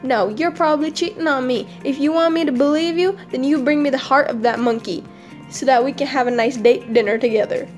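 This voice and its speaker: Female storyteller as crocodile wife, jealous, accusing, demanding, high pitch, casual storybook style. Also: fairly quick, faint music behind, close to an everyday voice. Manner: accusing, bossy.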